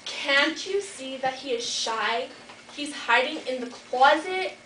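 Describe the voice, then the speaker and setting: Teen girl as a high-voiced fairytale dwarf. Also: lively intonation, slow, distant mic, exaggerated voice. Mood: grumpy. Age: teenager. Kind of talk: amateur school readers' theater. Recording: echoey hall, loud crowd noise.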